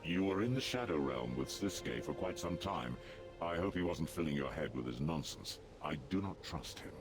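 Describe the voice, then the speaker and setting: A man voicing a grave, loyal demonic warrior in a video game, stern and formal, with a gravelly voice. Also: rumbling low, heavily acted. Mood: stern, suspicious. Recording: music behind.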